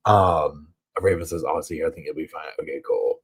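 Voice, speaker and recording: A man with a Southern US accent hosts a chatty live stream from a hotel room, reading viewer comments as he goes. His voice sits low and sounds a bit husky. He rambles and fills his talk with loose, easygoing asides. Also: fairly even intonation, a little fast. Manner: rambling, chatty, heavy on filler words. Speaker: true crime channel host.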